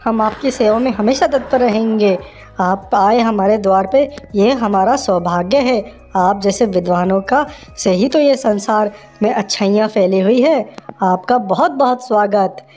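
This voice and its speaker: A woman's voice: mid-range voice, unhurried, a little mellow, soft background music, close to an everyday voice. A woman voicing a generous, respectful male lion in a cartoon, speaking in a formal, courteous way. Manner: formal, courteous welcoming speech.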